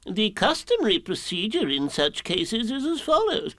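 A man voicing a fussy, self-important owl in a children's storybook, speaking in a formal, drawn-out way. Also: high-pitched, wildly swooping pitch, sharp tone, no room sound, voice pushed up, heavily acted. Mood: pompous, insistent. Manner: pompous, formal, drawn-out delivery.

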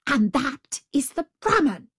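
A woman's voice: a little high, big pitch swings, extremely slow, voice pushed up, exaggerated voice. A woman voicing a savage male tiger in a storybook, growling, bullying and domineering. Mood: exasperated rage. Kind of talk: storybook character voice.

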